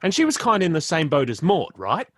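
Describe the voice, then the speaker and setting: An actor in a radio play playing a laid-back young stoner philosopher who rambles and stumbles through slangy musings. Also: male voice, fairly high pitch, wildly swooping pitch, quick pace, fairly bright tone, slightly rough, no echo, clean studio sound, exaggerated voice. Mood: earnest rambling.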